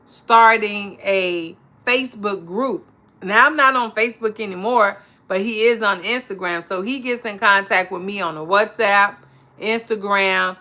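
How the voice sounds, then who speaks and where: An American woman from Chicago chatting casually to her viewers, telling stories in an animated, informal, slangy way. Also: medium pitch. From Chicago, United States. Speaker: vlogger chatting with live viewers. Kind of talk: casual chat.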